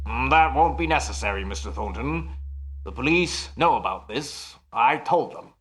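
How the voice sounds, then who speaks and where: An actor playing a self-assured nightclub mind reader in an old-time radio mystery, with a formal, emphatic, measured delivery. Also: male voice, mid-range voice, expressive, fairly bright tone, lightly acted. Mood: grave, confident.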